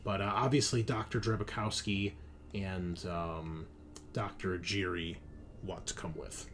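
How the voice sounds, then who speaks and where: A man narrating a tabletop roleplaying game as game master in a halting, informal, explanatory way. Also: low voice, fairly even intonation. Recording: clear background noise, room ambience.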